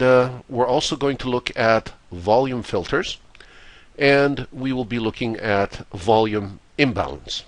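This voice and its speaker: Hoarse stuffed-up guy doing a trading lecture, lowish voice, lots of uhs, dry close sound. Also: dry recording. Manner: measured, with frequent "uh" fillers. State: hoarse, congested with a cold. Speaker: trading course instructor. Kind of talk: recorded slideshow lecture.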